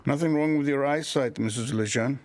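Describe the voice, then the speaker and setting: A man's voice: medium pitch, a little mellow, lightly acted. An actor playing a formal, courteous, dryly polite man in a radio soap. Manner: formal, measured, dry.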